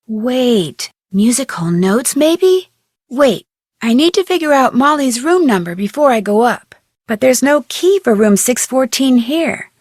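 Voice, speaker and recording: A woman's voice: high voice, no echo, studio quality, exaggerated voice. A woman voicing a curious, plucky young female detective in a video game, musing aloud expressively.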